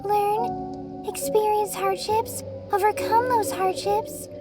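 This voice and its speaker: A woman voicing a gentle, weary pony mare who serves as Death, speaking softly, tenderly and wistfully in an audio drama. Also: very high voice, fairly even intonation, slow pace. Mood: tender, nostalgic. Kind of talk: audio drama character dialogue. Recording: loud music bed, slightly distant. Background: loud music.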